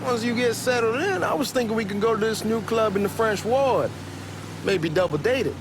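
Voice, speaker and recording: An actor playing a cocky, talkative young hustler with an American Southern accent in a video game, speaking in loose slang. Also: male voice, sits high, animated, a little fast. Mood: joking, teasing. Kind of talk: acted game dialogue, casual banter. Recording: car engine and street noise behind. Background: moving car ambience.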